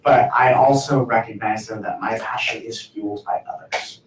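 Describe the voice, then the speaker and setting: A man's voice: fairly low pitch, steady tone, moderate pace. A man speaking on a discussion panel in an earnest, loose way, with many "like"s. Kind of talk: casual panel talk. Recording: echoey room from far off, faint noise.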